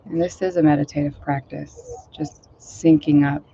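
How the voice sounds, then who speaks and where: A woman meditation teacher talks in a loose, rambling way full of fillers, her voice a little hoarse and low today. Her delivery is mellow and fairly flat. The recording is dull and lo-fi, made outdoors with faint noise behind her. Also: moderate pace. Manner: rambling, filler-heavy, gentle. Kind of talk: casual talk leading a guided meditation.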